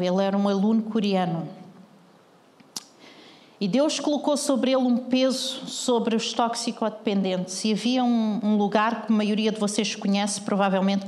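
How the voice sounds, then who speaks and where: A woman's voice: on the high side, big-room sound. A woman pastor with a European Portuguese accent preaching a sermon, earnestly questioning the congregation.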